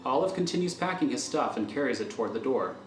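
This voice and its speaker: A man's voice: medium pitch, animated, fast talker. A man reading screenplay stage directions as the narrator of an audio thriller. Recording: roomy echo with music underneath.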